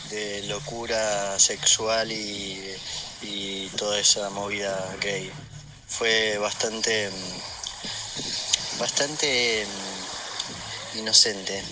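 A man with an Argentine accent and a lowish voice recounts a personal story casually. He hesitates and uses plenty of colloquial turns. It is a voice message, with clearly noisy surroundings behind him. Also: steady tone, very slow, long pauses. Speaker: listener sending an audio message. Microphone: phone voice message. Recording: voice message with loud background noise.

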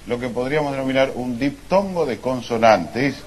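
A man's voice: mid-range voice, normal speed, close to an everyday voice. A man playing a pedantic language scholar. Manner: mock-learned, overly formal. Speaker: comic stage performer. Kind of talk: live stage comedy sketch. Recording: live stage with background noise.

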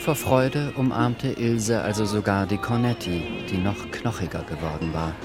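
A man's voice: on the low side, normal speed. A man reading literary scripted narration as the narrator of a radio play, with music behind him.

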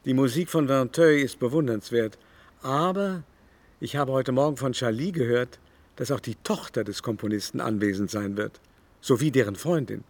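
An actor playing a teasing, gossipy society gentleman in a literary radio drama, with an arch, mannered, exclaiming delivery. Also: mid-range voice, moderate pace, natural voice. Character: teasing, gossipy, affected male society dandy.

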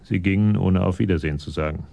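A man's voice: sits low, quick pace, creaky, dark tone. A man narrating a German radio crime drama in scripted prose.

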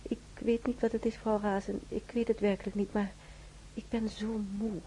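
An actress playing an exhausted, obedient young housemaid, speaking hesitantly and wearily in a radio drama. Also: female voice, mid-range voice, level tone, moderate pace, mellow, slight background noise, natural voice, low-quality recording. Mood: weary. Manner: weary, hesitant.

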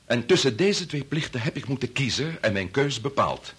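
An actor playing a grave middle-aged chief bacteriologist and family man torn by conscience, speaking formally and deliberately in a radio play. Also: mid-range voice, lively intonation, a bit bright, slightly rough. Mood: solemn. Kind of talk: Dutch radio play acting.

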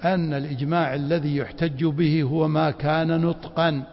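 Gravelly man teaching in a mosque. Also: on the high side, little pitch movement, normal speed, a little hiss or hum. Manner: quizzes the class with rhetorical prompts. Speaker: Islamic law teacher. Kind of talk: classroom lecture with questions to students. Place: mosque study circle. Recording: dull lo-fi recording in a reverberant hall.

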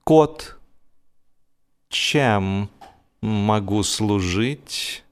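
A man's voice: lowish voice, lively intonation, clear, resonant tone. A man voicing a huge old tomcat, brazen and self-assured, drawing out his words with exaggerated courtesy in a comic audiobook. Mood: coolly courteous. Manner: slow, drawn-out, exaggerated politeness.

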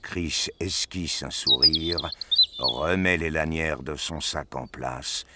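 A man's voice: rumbling low, slow, heavy background noise. A man narrating an audio drama as a scripted storyteller. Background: music.

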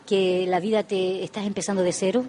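A woman's voice: medium pitch, level tone, brisk, dark tone. A woman hosting a live radio interview, effusive and affectionate, often repeating and restarting her phrases. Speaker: radio show host.

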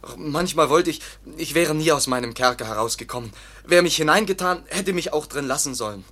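An actor playing a naive, fearful young foundling in a German radio drama, speaking in a halting, plaintive, simple way. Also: male voice, a little high, expressive, a bit bright. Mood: sorrowful.

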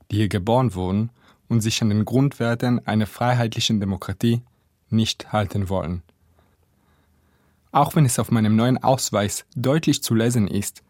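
A man's voice: mid-range voice, darkish tone, no echo. Dry, close-sounding male essay narrator from Israel. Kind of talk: scripted first-person essay narration. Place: dry studio.

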